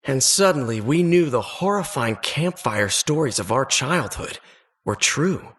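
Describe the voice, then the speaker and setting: A man's voice: medium pitch, expressive, measured pace, fairly bright tone, slightly rough. A man playing an earnest adventurer who narrates childhood dungeon exploits for a video game in a suspenseful, wistful storybook manner. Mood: wistful, dramatic.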